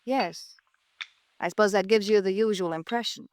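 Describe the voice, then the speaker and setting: An actress playing a guarded, grieving young widow in a 1950s radio drama, hesitant and defensive. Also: female voice, medium pitch, normal speed, slight background noise, lightly acted. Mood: wary, composed.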